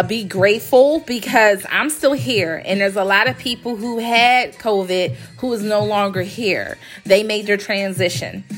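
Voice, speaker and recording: A woman's voice: medium pitch, fairly bright tone. A woman hosting a casual podcast, sounding congested as she recovers from illness, chatty and emphatic, repeating phrases for stress. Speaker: podcast host, graphic design company owner. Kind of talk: casual solo podcast talk.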